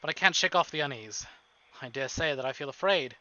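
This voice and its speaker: A man's voice: on the high side, animated, fairly quick, sharp tone, highs cut off. A man live-streaming a visual novel, reading it aloud dramatically with casual, joking, sweary asides, over music. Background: game music.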